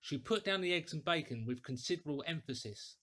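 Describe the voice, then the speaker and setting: A man reading a classic story aloud in a deliberate, theatrical, clearly articulated way. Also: on the high side, animated, a bit bright. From England. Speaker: audiobook reader, actor. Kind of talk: dramatic scripted storytelling.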